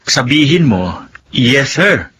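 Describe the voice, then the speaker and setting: A fatherly male teacher, kindly and firm, in a radio drama. He coaxes and encourages, mixing in English, at a middle pitch. Faint noise sits behind him. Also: very animated, slow pace, fairly bright tone. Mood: encouraging. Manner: coaxing, firm, mixes English into Tagalog.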